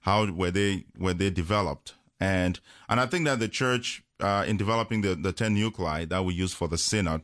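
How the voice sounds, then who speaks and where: A man from Cameroon, a parish priest chatting on a radio call-in show, talking slowly with many fillers and chuckles. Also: deep voice, a bit bright, dry recording, studio quality. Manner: slow, hesitant, many fillers, chuckles often. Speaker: priest guest. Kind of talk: casual radio chat. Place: radio studio.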